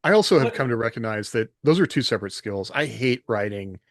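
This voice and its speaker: A man's voice: mid-range voice, lively intonation, brisk, no echo, studio quality. A man co-hosting a podcast in a casual, conversational style. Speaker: podcast co-host and fiction writer.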